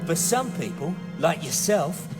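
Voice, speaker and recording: Hapless henchman, high voice, very animated pitch, whiny, video game cutscene, loud music. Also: unhurried. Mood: resigned, chatty. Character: cowardly hapless hired gunman, simple, chatty working man.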